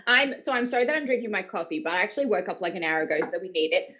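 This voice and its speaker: A woman's voice: mid-range voice, expressive, racing, pushed and bright, muffled recording. An Australian woman, a voice actor, talking in a casual, informal, rambling way. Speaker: voice actor being interviewed. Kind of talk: casual chat.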